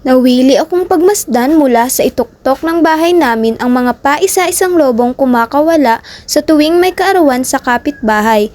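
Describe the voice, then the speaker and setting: A woman narrating a children's story aloud in a steady, expressive way. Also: high voice.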